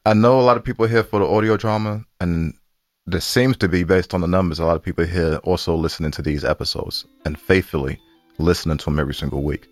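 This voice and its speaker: A man's voice: low-pitched, quick pace, dry recording, clean studio sound, faint music behind. A man co-hosting a podcast, chatting casually in an easygoing, bantering way. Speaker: podcast co-host.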